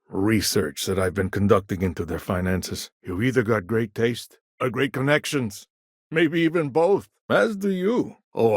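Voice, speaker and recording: A man acting a shrewd, self-assured businessman in a video game, with a wry, smooth-talking manner. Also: lowish voice, no room sound, studio quality, exaggerated voice. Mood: wry, confident.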